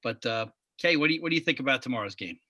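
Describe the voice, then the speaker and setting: Bright-voiced Long Island man hosting. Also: mid-range voice, rapid-fire, dry recording. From Long Island, New York. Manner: relaxed, friendly, laughs often. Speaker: podcast host. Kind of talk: casual sports podcast chat.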